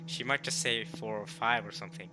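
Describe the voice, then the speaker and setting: A man who speaks English as a second language, giving casual, rambling let's-play commentary and reading game text aloud, with excitable bursts. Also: on the low side, fairly quick, poor audio. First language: not English. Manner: rambling, repeats and rephrases himself, excitable bursts. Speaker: streamer playing and reading a visual novel. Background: game music.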